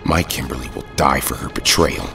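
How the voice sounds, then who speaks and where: An actor in a superhero audio drama playing a ruthless, commanding, menacing warlord, with loud music underneath. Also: male voice, extremely low pitch, fairly even intonation, normal speed, vocal fry. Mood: cold, menacing.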